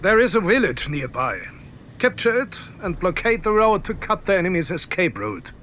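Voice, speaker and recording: High-pitched commanding general. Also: male voice, sing-song, moderate pace, pushed and bright, a little hiss or hum, exaggerated voice. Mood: brisk, satisfied. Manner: formal, authoritative, clipped commands. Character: commanding German general, confident, calculating desert war strategist. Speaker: commanding officer giving mission briefings. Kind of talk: scripted video game cutscene and briefing acting.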